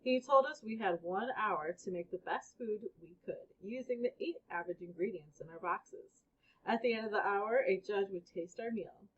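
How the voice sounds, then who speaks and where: A woman with a husky voice reading a children's book aloud as a storybook reader. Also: mid-range voice, normal speed. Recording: dull, lo-fi recording.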